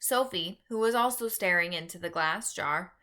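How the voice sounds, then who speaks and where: Bright-voiced woman reading a children's story. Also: fairly low pitch, normal speed. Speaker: storybook reader. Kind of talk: expressive scripted read-aloud narration for children.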